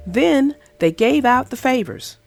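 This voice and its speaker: A woman reading a children's picture book aloud in an expressive, sing-song storytelling manner. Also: high-pitched, slow, vocal fry, soft background music. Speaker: storybook reader. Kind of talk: children's read-aloud narration.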